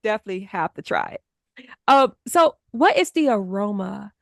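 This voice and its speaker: A woman's voice: medium pitch, expressive, normal speed, creaky, no room sound, studio quality. A woman hosting a book podcast in a conversational way, putting questions to a guest and addressing listeners. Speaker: podcast host.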